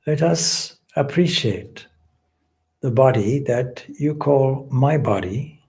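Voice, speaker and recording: Very slow-speaking man guiding meditation. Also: mid-range voice, animated, darkish tone. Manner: measured, deliberate, solemn phrasing with long pauses. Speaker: swami leading guided meditation. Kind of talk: guided meditation, spiritual teaching.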